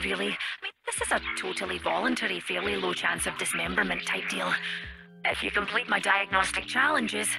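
Scottish game scientist lady, super chatty n cheery, sharp gravelly radio voice, bit of music behind. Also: medium pitch, heavily acted. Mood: reassuring, persuasive. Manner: chatty, endearments, eager. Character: cheery, eccentric female scientist, coaxing and chatty. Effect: radio transmission filter. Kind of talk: video game character voice lines.

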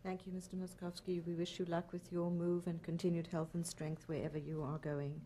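Low, flat-voiced woman emcee. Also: fairly quick, slight background noise. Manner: polite and formal, with hesitant fillers. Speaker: event emcee. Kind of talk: formal introductions.

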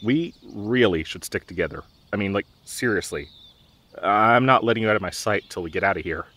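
A young man playing a dorky, nervous, protective gamer best friend in an audio roleplay, with halting, awkward delivery. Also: on the low side, bright, forceful tone. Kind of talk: audio roleplay drama, conversational.

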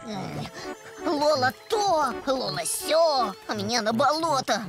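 An envious little cartoon monster girl with a tiny, very high voice. She exclaims and whines impulsively, swooping all over in pitch, and is good-hearted underneath. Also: lively intonation, takes it slowly, over music, full character voice. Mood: sulky, self-pitying. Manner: exclamatory, whiny when hurt. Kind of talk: animated children's cartoon acting.

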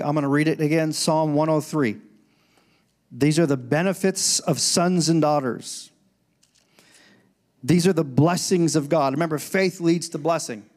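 A man in his forties preaching a sermon on a church stage into a handheld microphone, swinging between loud emphatic lines and quiet conversational asides. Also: a little high. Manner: preaching with rising and falling intensity, conversational asides. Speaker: pastor.

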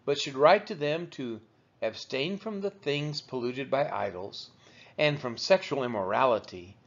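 A man reading the Bible aloud as measured narration, with deliberate, emphatic phrasing. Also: mid-range voice. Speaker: Bible reader. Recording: dull, lo-fi recording.

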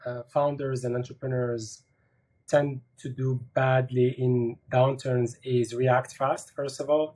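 A middle-aged man on a video call panel, speaking in a measured, thoughtful way with frequent fillers. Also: medium pitch, dark tone, husky, rough recording. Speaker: managing director panelist. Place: bedroom with curtains.

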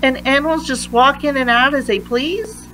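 A woman reading a children's picture book aloud in a deliberate manner, pausing between phrases. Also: high-pitched, bright, forceful tone, a little hiss or hum, rough recording. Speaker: read-aloud reader.

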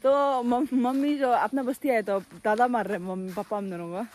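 A young woman from Arunachal Pradesh, chatting excitedly to her phone camera while vlogging around a hill village. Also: on the high side, normal speed. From Arunachal Pradesh, India. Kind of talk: casual vlog narration.

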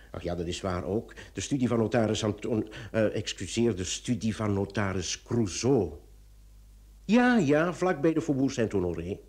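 Police commissioner, wry and self-assured, theatrical, lively intonation, old radio drama. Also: male voice, mid-range voice.

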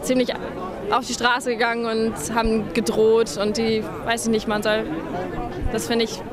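Young woman, casual and hesitant, mid pitch, crowd-noisy outdoors. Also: monotone, a little slow. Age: young adult. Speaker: market visitor. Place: crowded Christmas market outdoors at night. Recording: crowd noise behind.

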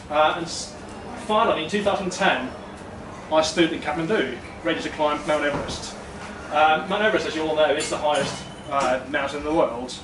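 A man in his thirties from Denmark telling a personal story to a live audience, chatty and self-deprecating with many ums. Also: a little high. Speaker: storytelling event speaker. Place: small brick-walled event room, indoors. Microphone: far room mic. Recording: echoey room, distant mic, noisy.

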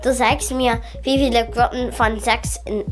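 A woman's voice: fairly high pitch, steady tone, measured pace, music in the background, close to an everyday voice. A woman voicing an earnest, creative schoolgirl in a children's audio drama, talking in a slightly pleading way.

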